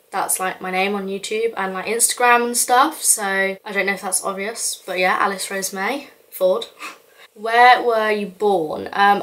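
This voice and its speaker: A woman's voice: medium pitch, fairly bright tone. Chatty young woman vlogger. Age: young adult. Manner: chatty, rambling, frequent "like" and "um" fillers. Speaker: YouTuber. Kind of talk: casual get-to-know-me vlog.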